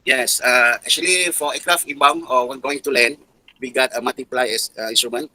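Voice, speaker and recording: A man with a Malaysian accent giving explanatory interview answers in a halting manner with non-native phrasing. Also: mid-range voice, sharp tone, low-quality recording. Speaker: interviewed air traffic control expert.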